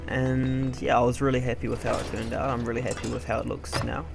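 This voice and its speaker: Young man with a creaky, casual voice. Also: mid-range voice, flat delivery, fast talker. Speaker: let's play host. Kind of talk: casual gameplay commentary, rambling personal chat. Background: video game music.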